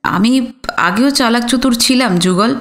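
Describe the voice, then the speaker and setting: A woman's voice: a little high, unhurried, fairly bright tone. A woman voicing a clever little boy with grave, knowing airs in an audio story. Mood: self-assured.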